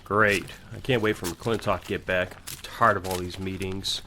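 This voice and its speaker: A man's voice: low voice, fairly even intonation, moderate pace, sharp tone. A man playing a courteous, weary military major in an audio drama, polite and formal in his speech. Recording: faint background noise.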